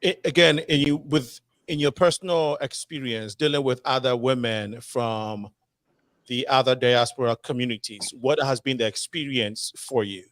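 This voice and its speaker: A man's voice: mid-range voice, moderate pace, a bit bright, no echo. A man hosting a panel talk show, asking long, rambling questions full of fillers. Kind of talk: panel discussion moderating.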